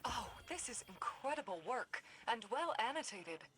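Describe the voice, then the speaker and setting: A woman's voice: a little high, very animated, normal speed, a bit bright, a little hiss or hum. An actress playing a brainy female tech expert who guides the player in a video game. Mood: fascinated. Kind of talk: video game character dialogue.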